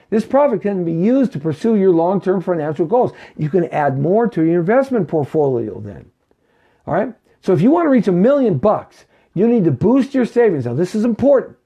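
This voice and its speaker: Preachy fifties man, lively voice. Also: sits high, lo-fi sound. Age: 50s. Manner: preachy, repeats himself, keeps asking "okay?" and "right?". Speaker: talk show host. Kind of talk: live money talk show.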